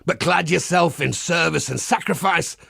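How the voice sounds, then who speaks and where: A man voicing a pious, wry crusader priest in a video game, with a husky, gravelly voice and a measured, folksy preacher's manner. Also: fairly high pitch, pushed and bright, no echo, studio quality, full character voice. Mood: wry, fond. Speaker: game character. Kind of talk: scripted game character monologue.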